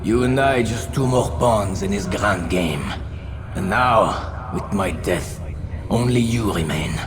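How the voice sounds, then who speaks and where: An actor playing a proud, bitter, scheming Templar knight with a French accent in a dramatic video game cutscene, speaking in a sardonic, theatrical way. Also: male voice, lowish voice, takes it slowly, music in the background. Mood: dying, taunting.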